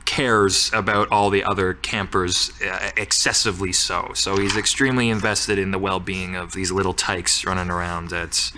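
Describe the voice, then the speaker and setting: A man's voice: low-pitched, steady tone, normal speed, hardly any pauses, sharp tone, slight background noise. A man casually improvising a character description as a player in a tabletop roleplaying game.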